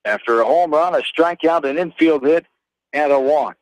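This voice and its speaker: An American man calling a baseball game live on the radio as play-by-play announcer, in terse, clipped phrases with pauses. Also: fairly high pitch, no echo, highs cut off.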